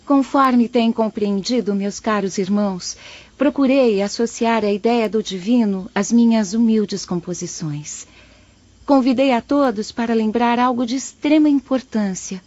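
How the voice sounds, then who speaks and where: Woman acting gentle teen spirit girl, highish voice, earnest lil sermon, dry muffled audio drama. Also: a little slow, no echo, close to an everyday voice. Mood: gently earnest. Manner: earnest, formal, gently instructive. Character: gentle, humble fifteen-year-old girl spirit, teaching poetess. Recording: dull, dry close audio.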